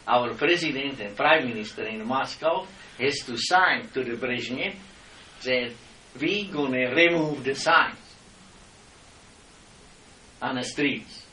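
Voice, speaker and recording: A man's voice: medium pitch, takes it slowly, pauses often, bright, forceful tone, a little hiss or hum. A middle-aged man from the Czech Republic with a Czech accent, arguing his case in an impassioned, lecturing way with non-native grammar. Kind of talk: heated argument with officials. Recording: echoey room, mic at a distance.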